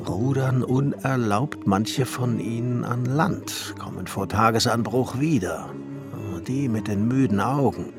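An actor playing a pensive, exacting alchemist and master glassmaker in a literary radio play, speaking in a measured, musing way. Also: male voice, mid-range voice. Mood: observant. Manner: measured, musing, at times hushed and breathy. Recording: music behind the voice. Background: music.

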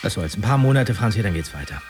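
An actor playing a laconic young man with a very husky, breathy voice and a dry, colloquial way of talking in a radio drama. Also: lowish voice, very animated, fast, over music. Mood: casual, practical.

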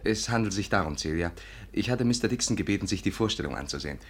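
A man playing a smooth-talking, slightly sheepish charmer in a 1950s German radio drama. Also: low-pitched, expressive, quick pace. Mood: coaxing.

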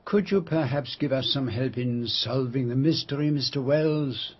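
An actor playing a courteous, shrewd detective with a French accent in a radio drama, formal and measured as he probes and gently teases. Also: medium pitch, husky, close to an everyday voice. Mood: courteous, inquiring. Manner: formal, polite, measured, probing. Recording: dull, lo-fi radio drama recording.

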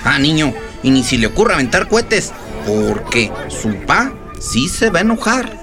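A cheeky, lazy household helper in a radio soap. The voice is high and swings up and down a lot, with folksy Mexican speech. Music plays clearly underneath. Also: male voice, sing-song, moderate pace, crowd noise. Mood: playful grumbling. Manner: folksy, colloquial.

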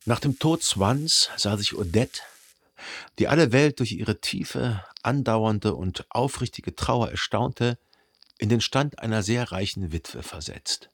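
Radio play lead: observant, reflective gentleman recounting his memories, deep-set husky tone, careful literary phrasing. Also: lively intonation, slow pace, heavily acted. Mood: detached, measured. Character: reflective, observant upper-class Frenchman narrating his memories. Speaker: narrator actor in a radio play. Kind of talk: literary first-person radio drama narration.

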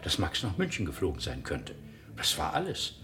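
A man's voice: sits low, wildly swooping pitch, moderate pace, sharp tone, exaggerated voice. An actor playing a defensive, evasive businessman husband in a German radio drama, indignant and dismissive.

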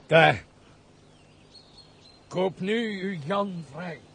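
An actor playing a world-weary, reclusive gentleman master in a radio play, curt and commanding in manner. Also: sits high, drawn-out words, vocal fry, exaggerated voice. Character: brooding, reclusive misanthropic gentleman master with a hidden kindness. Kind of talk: theatrical Dutch radio play acting. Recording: faint background noise, old radio play.

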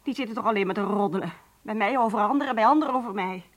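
An actress playing a neglected, complaining wife in a radio play, plaintive and emotional. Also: female voice, mid-range voice, rapid-fire, lightly acted. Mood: reproachful. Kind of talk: Dutch radio play acting.

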